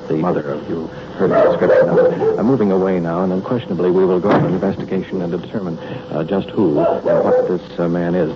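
A man reporting live as he rides along with police, improvising hesitantly in formal wording, in the style of an old radio documentary. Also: sits low. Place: on location in police car and houses. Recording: muffled, very noisy old field recording. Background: loud engine and ambient noise.

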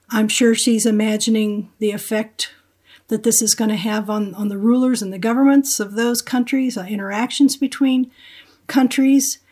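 A woman's voice: a little high, darkish tone. An older woman with an American accent, a historian reflecting aloud as an interview guest.

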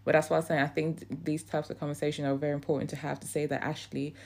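Low-voiced earnest woman in her thirties. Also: flat delivery, very fast, creaky, darkish tone. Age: 30s. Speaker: podcast co-host. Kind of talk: casual chat.

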